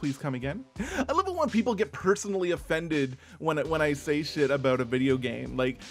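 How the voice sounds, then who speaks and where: A man with a slight lisp and a slightly high voice rambles through a self-mocking rant. His delivery is dry and sarcastic, and faint music plays quietly behind him. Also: lively intonation, a little fast. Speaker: YouTube host. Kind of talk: sarcastic rant reading viewer comments.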